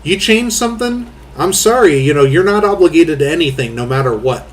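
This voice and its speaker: Rambling, blunt man with a booming voice. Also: expressive, brisk, a little hiss or hum. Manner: rambling, filler-heavy, blunt and crude. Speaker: host. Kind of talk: casual commentary chat.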